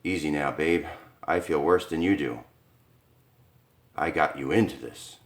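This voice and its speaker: A man voicing a young husband who talks in a low, soothing, reassuring way. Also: a little slow. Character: steady young husband trying to stay calm.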